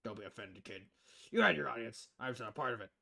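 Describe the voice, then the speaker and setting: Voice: medium pitch, animated, quick pace, creaky, a crowd in the background, voice pushed down. A streamer voicing a blunt, pompous, middle-aged male newspaper editor in a visual novel game. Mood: brash, insulting.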